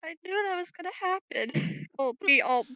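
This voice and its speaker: A woman's voice: very high-pitched, animated, moderate pace, sharp tone, muffled recording. A woman chatting casually with a group as a tabletop game player.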